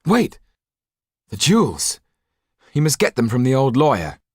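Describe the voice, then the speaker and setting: Man as a headstrong young audiobook hero, pitch lifted, intense, defiant, dry close sound. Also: wildly swooping pitch, a little slow, husky, dry recording, exaggerated voice. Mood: urgent. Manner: intense, impassioned.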